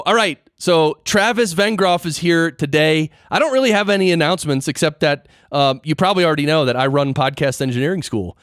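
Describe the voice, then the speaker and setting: A man's voice: on the high side, a bit bright, no echo, clean studio sound. A man hosting a podcast in casual interview chat, playful and slangy, given to exclamations. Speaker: podcast host.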